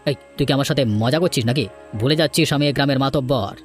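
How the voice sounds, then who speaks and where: A man voicing a scheming, bossy older village headman in an animated folk tale, with a brusque, dismissive manner. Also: medium pitch, fast talker, faint music behind, natural voice.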